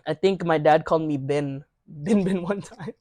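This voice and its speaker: A man's voice: on the high side, moderate pace, warm tone, no room sound. A young man chatting casually with friends as podcast host, rambling through stories and laughing often. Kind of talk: casual chat among friends.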